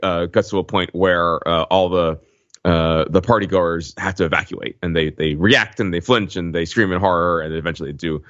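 A man co-hosting a podcast, analysing film casually in halting phrases and checking his points with "right?". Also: low voice, fairly even intonation, a bit bright, no room sound, dull audio. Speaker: podcast co-host.

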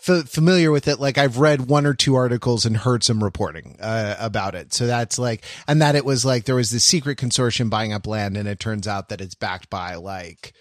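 A man's voice: mid-range voice, brisk, hardly any pauses, no echo, clean studio sound. A man co-hosting a podcast, chatting casually with a wry, sardonic manner. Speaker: podcast co-host. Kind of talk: casual conversational commentary.

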